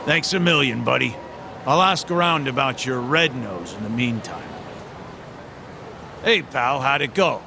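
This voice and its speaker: A chatty homeless man in a game cutscene, with a gravelly, lively voice that swings around in pitch. He talks in folksy slang, familiar and familiar-mannered, over clear city street noise. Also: unhurried, pauses often. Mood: chatty, wheedling. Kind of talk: video game cutscene acting.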